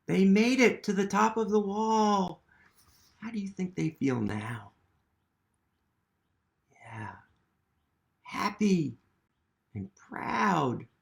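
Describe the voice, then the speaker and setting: A man reading a picture book aloud to children, gently asking them questions and sometimes dropping to a whisper. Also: high voice, extremely slow, gravelly, pauses often. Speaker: museum storytime reader.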